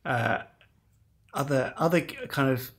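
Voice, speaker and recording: Male podcast host, mid-pitched, quick, encouraging questions. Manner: brisk, affirming interjections. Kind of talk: interview questions.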